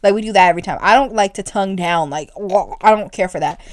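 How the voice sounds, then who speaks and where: Young woman, podcast co-host, casual rambling chat, animated, dry close mic. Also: fast talker, no room sound. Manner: animated, rambling, gets loud and shrill when excited.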